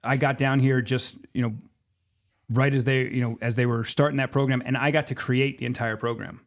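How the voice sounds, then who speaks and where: Male, 50s, medium pitch. Interview guest spinning anecdotes informally, voicing both sides of remembered exchanges. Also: a little fast. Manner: animated retelling with quoted dialogue. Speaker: podcast guest, former sports mascot performer. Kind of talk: casual storytelling in an interview.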